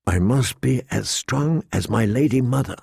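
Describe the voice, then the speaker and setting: A man acts out a young noble girl from a fantasy storybook. The voice is soft and tremulous, anxious yet devoted. It is recorded dry and close. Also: mid-range voice, animated, moderate pace, gravelly, slightly rough, dry recording, exaggerated voice. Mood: fearful resolve.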